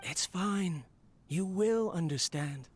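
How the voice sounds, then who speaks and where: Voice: medium pitch, lively intonation, takes it slowly, heavy background noise. An actor playing a proud, obsessive inventor who talks fondly to his machine in a theatrical, earnest game monologue. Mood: earnest, fervent.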